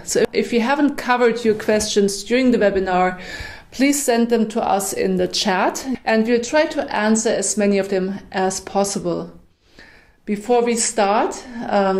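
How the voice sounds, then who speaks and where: A middle-aged woman whose first language is German presents a webinar in a measured, well-ordered way. Her German accent is clear, and her pitch sits in an ordinary middle range. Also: darkish tone. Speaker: nonprofit consultant, webinar presenter.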